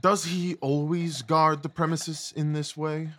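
A man playing a confident, genial Victorian gentleman detective in an audio drama, assured, wry and articulate. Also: on the high side, flat delivery, unhurried. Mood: inquisitive.

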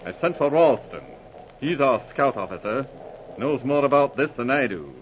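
Man acting as gruff colonel, emphatic, mid-pitched, wartime radio play, muffled recording with faint noise. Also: animated, normal speed, close to an everyday voice. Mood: worried, emphatic. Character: gruff, worried male army colonel in the trenches.

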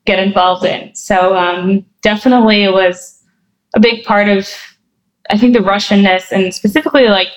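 A woman's voice: mid-range voice, steady tone, moderate pace, rough recording. Reflective woman guest with many fillers. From New Jersey, USA. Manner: thoughtful, many fillers and restarts. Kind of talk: casual interview answers.

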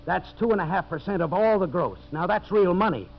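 An actor playing a brash, greedy American lumber boss, pushy and wheedling, in 1950s radio drama style. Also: male voice, high-pitched, lively intonation, a little fast, gravelly, exaggerated voice. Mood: pushy, impatient. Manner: pushy, wheedling, blunt salesman's talk. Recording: muffled, noisy 1950s radio recording.

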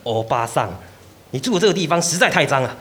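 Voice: mid-range voice, expressive, quick pace, a bit bright, breathy edge. A performer voicing a thirty-six-year-old male burglar posing as a renter in a student radio drama, wary and nervous. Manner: wary and uneasy, turning to panicked screaming. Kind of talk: amateur radio drama acting.

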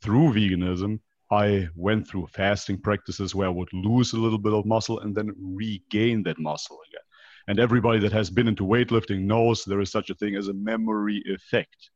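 A man's voice: fairly low pitch, steady tone, fairly quick, darkish tone, dry recording. A man of about thirty with a foreign European accent, explaining his own experience as a podcast guest and often checking in with a rhetorical question. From North Macedonia, raised in Germany. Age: around 30. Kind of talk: conversational interview, personal account. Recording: dull, band-limited online call.

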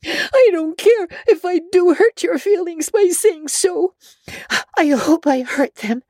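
A storybook orphan girl, wounded and blazing with indignation. Her voice leaps very high, choked and tearful, and she stammers as she hurls out her hurt with fierce vehemence. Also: slow, a little mellow, no room sound, studio quality. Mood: indignant hurt. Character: furious, wounded, freckled red-headed orphan girl. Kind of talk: dramatic audiobook character acting.

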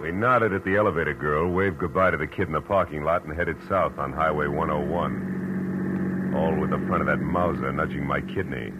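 An actor playing a hard-boiled, world-weary private detective in a 1940s radio drama, terse, wry and tough-talking. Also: male voice, a bass voice, normal speed, dull audio, very noisy. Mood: tense narration. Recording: vintage radio recording, music underneath.

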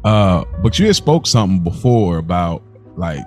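A man with a very deep voice talks loosely and pushes with follow-up questions. Faint music runs underneath. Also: sing-song, normal speed, darkish tone. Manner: curious, probing, asks follow-up questions. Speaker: podcast host. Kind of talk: casual chat.

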